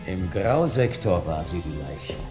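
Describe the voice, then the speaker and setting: A man's voice: low-pitched, sing-song, unhurried, over music. A man playing a blunt, impatient private detective in a radio drama, firing short exasperated questions.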